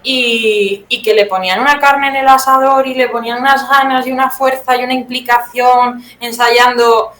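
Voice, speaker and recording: A woman's voice: on the high side, level tone, normal speed, hardly any pauses, fairly bright tone. A woman from Spain with a Spanish accent, giving reflective, self-correcting answers in a conversational interview. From Teruel, Spain. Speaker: guest playwright being interviewed. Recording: roomy echo, some background noise.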